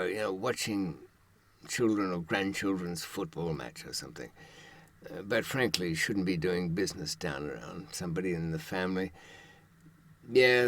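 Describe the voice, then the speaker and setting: An older man giving a conversational advisory monologue to his audience, wry and dryly sardonic, with rhetorical asides. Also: low-pitched. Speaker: true-crime advice presenter and author.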